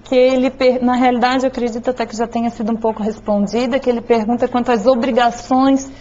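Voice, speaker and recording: A woman's voice: fairly high pitch, level tone, fast, dark tone. A woman moderating a seminar panel, informal, addressing guests as "tu". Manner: informal, uses "tu". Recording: dull lo-fi sound.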